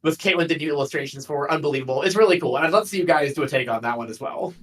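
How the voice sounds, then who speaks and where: A man's voice: a little high, very fast, a bit bright. A man chatting casually on a livestream, enthusiastic and rambling as he talks about creative work as a guest.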